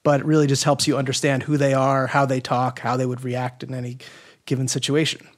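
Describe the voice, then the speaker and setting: A man with a medium-pitched voice talks as a podcast guest. He explains things in a casual, matter-of-fact way, like a working writer chatting informally, with a gently teasing touch. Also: completely flat delivery, a little fast.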